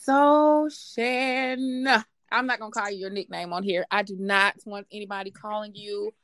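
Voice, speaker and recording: A woman hosting a podcast in a casual, effusive, informal way, quick to laugh. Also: medium pitch, steady tone, no room sound, studio quality. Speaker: podcast host.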